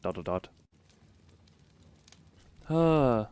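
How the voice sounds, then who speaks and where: A man's voice: medium pitch, sing-song, extremely slow, darkish tone, smooth. A man streaming a visual novel, reading the narration aloud and breaking off for casual asides and small laughs. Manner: reads narration evenly, breaks off for chuckling asides.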